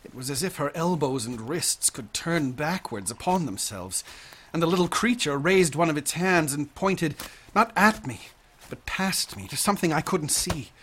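An obsessed young student with a fairly high male voice. He is haunted and intense, telling eerie visions in articulate, formal phrases with the theatrical delivery of radio drama. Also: animated, moderate pace, a bit bright, a little hiss or hum. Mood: haunted awe. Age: young adult.

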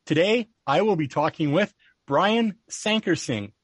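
A man hosting a podcast, reading a scripted introduction and then welcoming his guest, with a measured, deliberate delivery. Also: a little high, lively intonation, husky, dry recording, clean studio sound. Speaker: podcast host.

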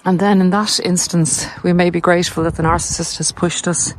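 An Irish woman speaks in a fairly low voice, explaining things in a measured, halting way. It is a casual walk-and-talk, recorded outdoors with some noise around her. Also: steady tone. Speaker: podcast host and narcissism recovery coach. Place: outdoors on a coastal cliff walk. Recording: noisy outdoor handheld recording.

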